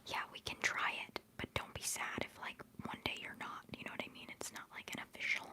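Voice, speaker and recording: A young woman hosting a livestream, whispering softly as she rambles through chat messages and answers viewers fondly, holding back tears. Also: brisk. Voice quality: soft whispering voice. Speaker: livestream host.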